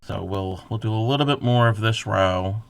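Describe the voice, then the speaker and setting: A man crocheting on a livestream, counting stitches softly under his breath and chatting casually with viewers. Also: on the low side, moderate pace, gravelly, clean tone. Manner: laughs at himself, chats back to viewers.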